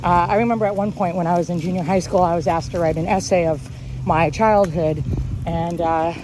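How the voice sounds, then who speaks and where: A woman chatting casually and reflectively, thoughtful and a little halting as she goes. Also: lowish voice, fairly even intonation. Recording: clear outdoor ambient noise.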